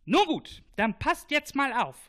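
A woman's voice: sits high, sing-song, unhurried, fairly bright tone, heavily acted. A woman voicing a bossy talking planet in a children's radio play, with a strange voice and a commanding, theatrical way of giving out tasks. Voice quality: strange, put-on voice.

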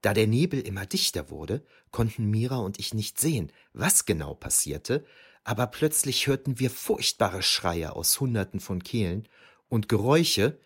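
An actor playing a shaken eyewitness, narrating an audio drama in the first person with deliberate, suspenseful emphasis. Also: male voice, fairly low pitch, breathy edge, exaggerated voice. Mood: haunted, suspenseful. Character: shaken eyewitness recounting an eerie forest battle.

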